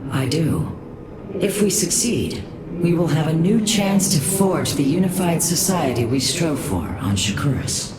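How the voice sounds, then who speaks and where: A woman playing a wise, solemn alien matriarch in a video game, with a measured, grave, formal delivery. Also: deep voice, expressive. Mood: solemn, reflective. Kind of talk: dramatic video game cutscene acting. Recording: distant, echoing, very noisy ambience.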